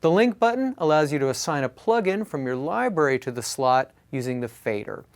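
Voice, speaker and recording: Slightly high-voiced male product reviewer. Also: expressive, a little fast. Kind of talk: scripted product review narration.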